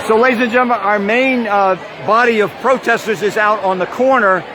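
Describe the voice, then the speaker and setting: A man walking through a campus crowd, giving halting live commentary on a protest with many "uh" fillers. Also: sits high. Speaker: protest livestreamer. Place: outdoors on a busy university campus. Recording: noisy crowd outdoors. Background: loud student crowd.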